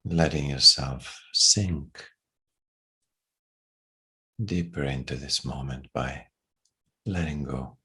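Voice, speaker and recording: Very deep, husky man guiding meditation. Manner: hushed, unhurried with long pauses. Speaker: meditation guide. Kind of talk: guided meditation.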